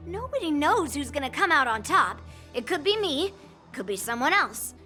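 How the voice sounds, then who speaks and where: A woman's voice: sits high, sing-song, normal speed, bright, forceful tone, faint music behind, heavily acted. A woman voicing a brash, confident young swordswoman with a blunt, cocky manner.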